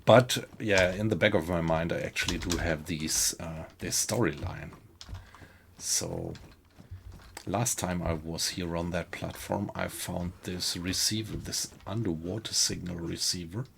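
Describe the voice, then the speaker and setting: A low, gravelly man's voice with a German accent, taking his time as he talks through a survival game live. He chats easily with his viewers and reacts to what he finds while thinking aloud. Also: unhurried, fairly bright tone, slight background noise. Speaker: game streamer. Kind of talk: live gameplay commentary, chatting with viewers.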